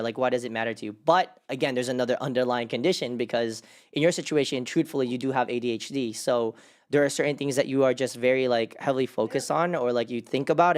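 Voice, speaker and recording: Encouraging male podcast host, mid-pitched. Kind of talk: casual group discussion.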